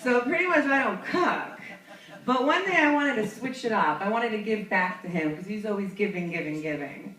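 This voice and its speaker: A woman's voice: medium pitch, expressive, normal speed, a bit bright. A young woman from New York doing stand-up, telling a self-mocking story in a chatty way and laughing through her punchlines. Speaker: stand-up comedian. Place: comedy club stage. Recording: roomy club, mic from a distance.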